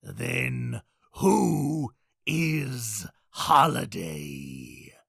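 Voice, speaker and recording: A gravelly, manic clown villain. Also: male voice, a little high, wildly swooping pitch, pushed and bright, no echo, studio quality, full character voice. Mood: taunting. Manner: taunting, singsong, drawn-out delivery. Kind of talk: dramatized comic book villain acting.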